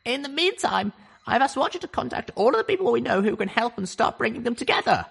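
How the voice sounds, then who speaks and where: A man's voice: very high-pitched, sing-song, fast talker, vocal fry, fairly bright tone, slightly rough, voice pushed up, heavily acted. A man voicing a young female unicorn pony heroine in a storybook reading, earnest and pressing. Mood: assuring.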